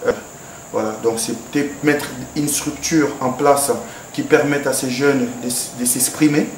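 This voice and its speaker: A man's voice: mid-range voice, steady tone, measured pace. A man, a former football player, giving a formal, hesitant address to a governor, with many fillers and restarts. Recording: echoey room, background noise.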